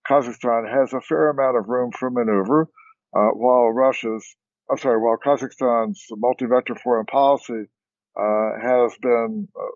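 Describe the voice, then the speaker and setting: An older man, a former ambassador, speaking as a guest on a policy panel in a measured way, pausing often with "uh". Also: medium pitch, dry recording, lo-fi sound. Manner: measured, many "uh" pauses. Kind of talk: expert panel discussion.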